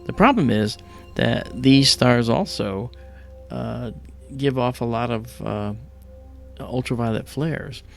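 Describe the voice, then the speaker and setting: Creaky-voiced man chatting as livestream host. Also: slow, soft background music. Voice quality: deep voice from a paralyzed vocal cord. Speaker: livestream host and astronomy presenter. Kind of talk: casual chat and explaining.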